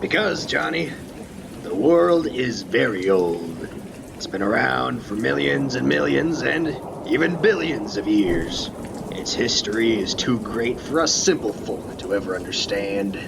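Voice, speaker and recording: Creaky-voiced lighthouse keeper played by a man, calm, mysterious storyteller for a student radio play; rain and thunder behind. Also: medium pitch, unhurried, background noise. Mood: musing.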